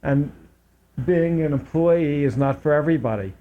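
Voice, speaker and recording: A man's voice: fairly high pitch, moderate pace, slight background noise. A man hosting a local public access talk show, talking casually with many fillers and restarts. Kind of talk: casual interview chat. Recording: dull lo-fi public access audio.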